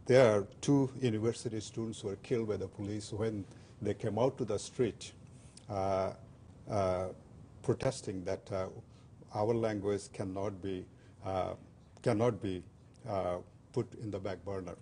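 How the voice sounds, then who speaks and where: An older man from Bangladesh, a native Bengali speaker, talks haltingly with frequent "uh"s and restarts. His voice sits fairly low and sounds dark and mellow. A faint low rumble runs under the recording. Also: steady tone. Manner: hesitant, many "uh" fillers and restarts. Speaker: interview guest. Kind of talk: community TV interview.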